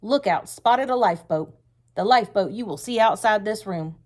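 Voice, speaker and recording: A woman's voice: medium pitch, animated, moderate pace, close to an everyday voice. A woman reading a storybook aloud, voicing a fierce, hard-driving male wartime Navy commanding officer with stern, emphatic delivery. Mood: grim, stern. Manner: stern, forceful, emphatic speech-making. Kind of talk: read-aloud storybook character voice.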